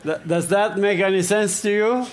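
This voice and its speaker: A man from the Gaza Strip giving a church testimony in short phrases, pausing for an interpreter. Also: high voice, a little hiss or hum. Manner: speaks in short phrases with pauses for translation. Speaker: guest speaker. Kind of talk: testimony talk with live interpreter.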